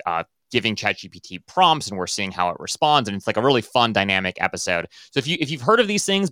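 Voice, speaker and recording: A man's voice: fairly low pitch, pushed and bright, no echo, clean studio sound. A man hosting a podcast, introducing the series and chatting with a guest in an upbeat, rambling way with many restarts. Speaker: podcast host. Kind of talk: casual podcast interview.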